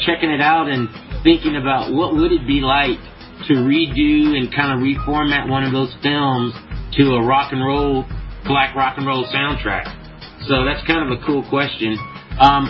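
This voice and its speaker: A man's voice: medium pitch, steady tone, normal speed, muffled recording, over music. Laid-back, profane man guest. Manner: laid-back, profane, rambling with many fillers. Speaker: podcast guest, show promoter. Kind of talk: casual chat.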